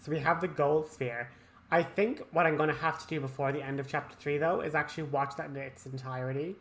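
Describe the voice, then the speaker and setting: A man's voice: a little high, fairly even intonation, fairly quick, fairly bright tone. A man giving casual, chatty let's play commentary with teasing asides. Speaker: gaming video host.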